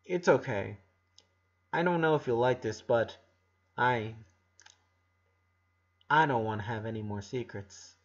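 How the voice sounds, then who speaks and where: A man's voice: mid-range voice, a little slow, long pauses, lo-fi sound. A man voicing a kind, earnest eleven-year-old boy in an audiobook story, reassuring and a little hesitant. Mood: nervous, hesitant.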